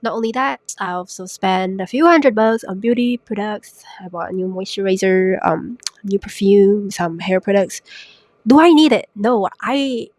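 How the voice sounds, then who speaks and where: Raspy congested young woman podcasting. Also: mid-range voice, dry recording. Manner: chatty, self-mocking, rhetorical questions. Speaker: podcast host. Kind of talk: casual solo podcast talk. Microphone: new podcast microphone.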